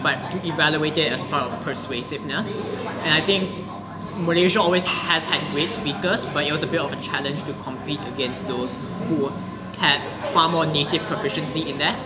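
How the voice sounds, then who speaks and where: Young male debater, thoughtful and articulate, slightly high voice, loud crowd chatter behind. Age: young adult. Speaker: debater, guest. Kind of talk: casual interview.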